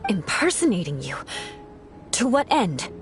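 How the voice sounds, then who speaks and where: An actress playing a stern, haughty young woman knight in a video game, speaking in a formal, sharp-tempered manner. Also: mid-range voice, big pitch swings, measured pace, music in the background. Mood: stern, questioning. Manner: formal, accusing, crisp rhetoric.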